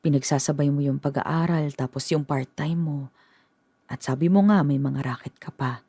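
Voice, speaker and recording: An actress playing a worried middle-aged mother who speaks in a halting, gentle way in a radio drama. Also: low voice, slightly rough, no echo. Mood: hesitant.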